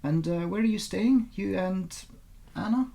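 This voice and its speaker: Man reading as a businesslike, creaky policewoman. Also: high-pitched, measured pace, dark tone, voice pushed up. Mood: probing, polite. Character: formal, businesslike female police officers.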